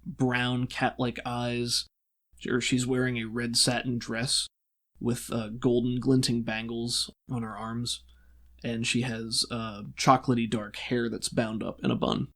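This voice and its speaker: Male game master, mid-pitched, improvised scene-setting with hesitant word searches. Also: little pitch movement, measured pace, fairly bright tone. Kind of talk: narrating a tabletop roleplaying game.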